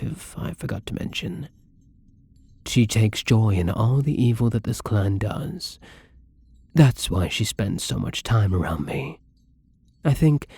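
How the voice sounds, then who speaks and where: A man playing a gentle, lonely, tormented vampire in an intimate ASMR roleplay, speaking softly and hesitantly in a breathy, brooding way, often near a whisper. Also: lowish voice, no room sound, heavily acted. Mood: uneasy, bitter. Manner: slow, hesitant, brooding, tender. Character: melancholy young male vampire, gentle, lonely, tormented, once human.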